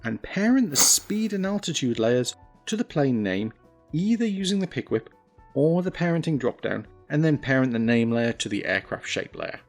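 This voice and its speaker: Low-pitched man narrating a tutorial over music. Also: big pitch swings, normal speed. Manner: instructional with wry, self-deprecating asides. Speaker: tutorial presenter.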